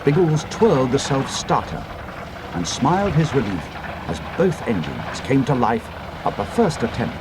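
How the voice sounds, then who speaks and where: A man narrating an adventure audiobook in a measured storytelling manner. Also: mid-range voice, big pitch swings, background noise.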